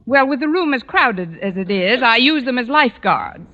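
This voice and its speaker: An actress playing a wry, wisecracking high school teacher with dry, sardonic delivery in a 1940s radio comedy. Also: female voice, expressive, lightly acted. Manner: dry, sardonic, quick with a quip. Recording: dull, noisy 1940s radio recording.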